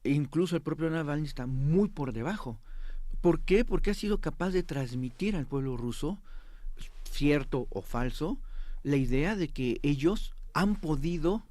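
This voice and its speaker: A man's voice: fairly high pitch, animated, unhurried, mellow, dry recording. A man speaking as an expert guest in a studio interview, explaining with emphasis and often checking that the listener follows. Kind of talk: interview commentary on politics.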